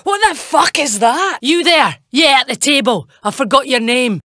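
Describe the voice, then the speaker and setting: An actress playing a brash, bossy, foul-mouthed criminal in a video game, blunt and demanding. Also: female voice, high voice, lively intonation, moderate pace, pushed and bright, dry recording, studio quality. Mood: bossy, irritated.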